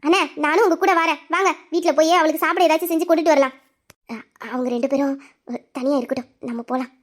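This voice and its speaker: A woman's voice: high-pitched, steady tone, fast, close to an everyday voice. A woman acting a reassuring, motherly sister-in-law with a coaxing, matter-of-fact manner in an audio drama. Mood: caring. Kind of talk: melodramatic Tamil audio drama.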